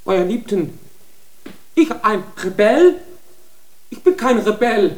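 Humble, fearful accused for a radio drama, slow and submissive with a lively, rising and falling voice. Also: male voice, sits high. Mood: protesting. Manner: pleading, submissive, deferential. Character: humble, frightened male accused before a church tribunal.

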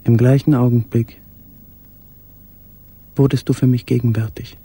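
Ein Schauspieler spielt in einem Hörspiel einen gequälten, sich erinnernden Mann im Versteck, gedämpft, stockend und intim gesprochen. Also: male voice, mid-range voice, flat delivery, measured pace, mellow, breathy edge, dry recording. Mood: haunted, yearning. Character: haunted man in wartime hiding, remembering a beloved. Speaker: actor in a radio play. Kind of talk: literary radio play monologue. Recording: faint background noise.

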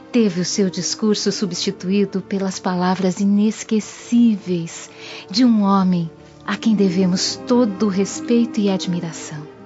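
An actress playing a devout, gentle young noblewoman of Rome, earnest and reverent, in a radio drama. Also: mid-range voice, close to an everyday voice. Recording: muffled lo-fi audio with music behind.

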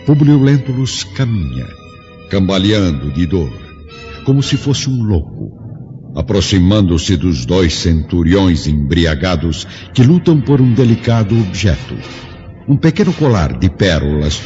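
Very deep, dark-voiced solemn male narrator. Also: expressive, unhurried. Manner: solemn, literary. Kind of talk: dramatic scripted radio drama narration. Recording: dull lo-fi radio drama, music behind.

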